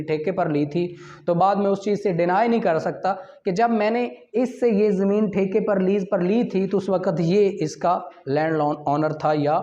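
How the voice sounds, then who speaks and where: A man's voice: high-pitched, mellow. A man with a Pakistani accent giving an informal law lecture, explaining with examples, dropping in English terms and checking that students follow. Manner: explanatory, checks understanding with questions; mixes in English legal terms. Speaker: law teacher. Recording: dull lo-fi recording.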